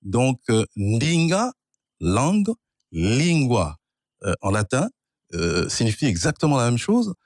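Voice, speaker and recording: Un homme d'origine angolaise, de langue maternelle kikongo, qui explique la linguistique d'un ton posé et professoral. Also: male voice, medium pitch, sing-song, no room sound, clean studio sound. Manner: measured, professorial, spells out words. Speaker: guest, linguist and author. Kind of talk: explanatory interview answers.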